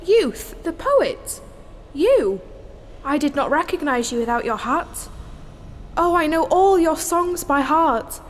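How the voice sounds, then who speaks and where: Idealistic young dancer heroine, high and unhurried, earnest and declamatory, theatrical morality play. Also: female voice, very animated, heavily acted. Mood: adoring, alarmed. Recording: noisy, reverberant hall recording.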